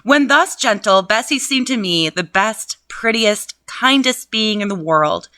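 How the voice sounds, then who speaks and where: A woman's voice: mid-range voice, animated, measured pace, pushed and bright, dry recording, clean studio sound. A woman reading a classic novel aloud at home, breaking off for casual, laughing, profane asides. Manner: laughs often, swears, chatty asides. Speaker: reader and host. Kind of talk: casual book reading with commentary.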